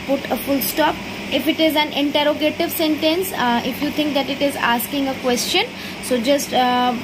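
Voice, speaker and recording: A woman with an Indian English accent teaching a grammar lesson to young children. She explains slowly and drops into quick Hindi asides. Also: high-pitched, noisy background. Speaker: school teacher.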